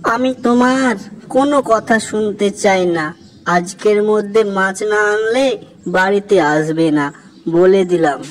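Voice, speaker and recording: A woman's voice: mid-range voice, slow, close to an everyday voice. A woman voicing a nagging, distressed village mother in a cartoon, scolding and melodramatic. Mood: angry scolding. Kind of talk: cartoon dialogue.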